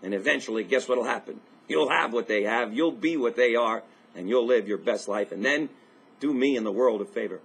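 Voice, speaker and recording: Male life coach, 50s, husky, energetic motivational host, webcam delivery. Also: medium pitch, a little fast, poor audio. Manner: energetic, emphatic, upbeat pep talk. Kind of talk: motivational video message. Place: indoors by a large window.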